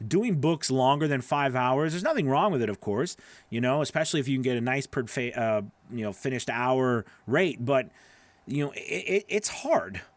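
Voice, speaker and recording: A man in his forties talks casually as a livestream host and coach. His voice sits at a medium pitch, recorded dry and close on a studio mic in a small home studio. He is encouraging and emphatic, often repeating a phrase to drive it home. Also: lively intonation, moderate pace, no echo. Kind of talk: casual livestream advice, answering viewer questions. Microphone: close studio microphone on boom arm.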